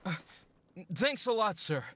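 A man's voice: high-pitched, sing-song, measured pace, a bit bright, slight background noise, full character voice. Protective big brother, farm youth, acted by a man: earnest, a little hesitant, varied intonation, audio drama. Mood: polite, subdued.

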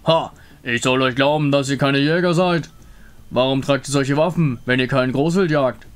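A man's voice: medium pitch, normal speed, close to an everyday voice. A man voicing a proud, menacing big-cat jungle hunter in a game story, boastful and challenging. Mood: suspicious. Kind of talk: fan voice-over of a game story.

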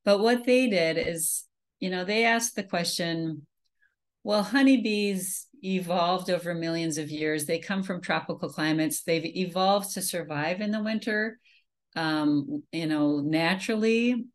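A woman from Minnesota walks through her slides on a video call, her voice a touch low for a woman. She talks in a relaxed, conversational way, full of "um"s and restarted phrases. The sound is plain webcam audio from a small room. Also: unhurried. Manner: conversational, many fillers and restarts. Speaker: beekeeping presenter.

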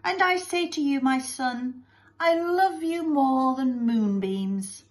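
A woman voicing a doting, weepy storybook mother who gushes in drawn-out, melodramatic baby talk. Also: sits high, pitched above the performer's own voice. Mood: proud, gushing. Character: gushing, sickly-sweet, weepy doting mother.

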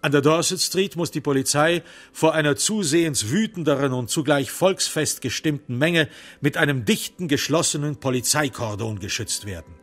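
A man's voice: on the high side, normal speed, soft background music. A man narrating a literary crime radio play in a sardonic, theatrical storytelling manner.

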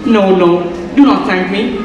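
A man's voice: high-pitched, measured pace, slight background noise. A young man playing an earnest, courteous male poet on a school stage, speaking in a formal, theatrical way. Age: young adult. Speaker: student actor. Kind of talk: stage acting in a school play. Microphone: far stage mic. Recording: echoey hall from far off, music behind.

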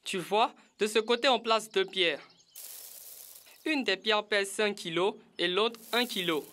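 Woman voicing a bright, confident cartoon boy. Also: mid-range voice, unhurried, lightly acted. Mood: confident, explaining. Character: confident, helpful older boy. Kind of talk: children's cartoon acting.

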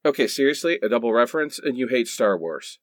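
A man's voice: mid-range voice, lively intonation, fairly quick, dry recording, clean studio sound, natural voice. A man playing an exasperated, melodramatic, sarcastic character in a comedic radio play.

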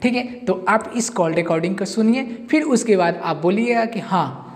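A man's voice: sits high, moderate pace. A man gives an informal, emphatic update to camera as a channel host, often repeating himself. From India. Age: 20s. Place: indoors in front of a whiteboard. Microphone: clip-on lapel mic. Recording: long hall-like reverb.

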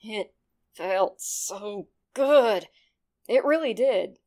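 A woman voicing a smug, cold young male killer reading out his horror journal in a sinister, drawn-out way. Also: mid-range voice, animated, a little mellow. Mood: gloating, intense. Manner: sinister, self-satisfied, drawn-out delivery. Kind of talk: horror story journal read aloud.

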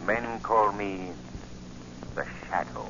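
Menacing invisible avenger, lowish voice, deliberate, commanding, old radio drama, noisy muffled recording. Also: male voice, steady tone. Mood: commanding, eerie. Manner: slow, commanding, deliberate interrogation. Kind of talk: 1940s radio acting.

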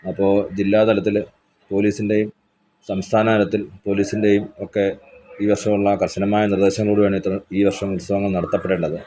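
A man with a very deep, flat voice speaks as a police station officer making a formal announcement. He is measured and official, pausing on hesitant fillers. A faint background hiss sits under his voice.

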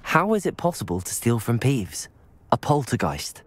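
A man's voice: mid-range voice, big pitch swings, normal speed. A man playing an earnest, determined young wizard student in a video game, polite and inquisitive. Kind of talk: video game dialogue acting.

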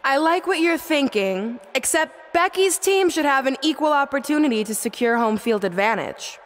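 Imperious, higher-voiced wrestling boss. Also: female voice, lively intonation, normal speed. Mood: commanding. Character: self-assured, imperious female wrestling show boss. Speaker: general manager character. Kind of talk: video game acting, arena announcement on microphone.

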